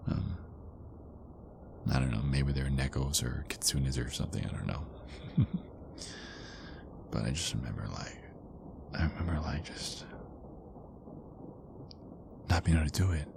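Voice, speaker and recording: A man playing a big, affectionate werewolf boyfriend in an intimate ASMR roleplay, murmuring hesitantly and often slipping into breathy whispers. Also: extremely low pitch, a little slow, long pauses, heavily acted. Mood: soft, nostalgic. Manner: hesitant, rambling, murmured pillow talk. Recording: clearly noisy background ambience.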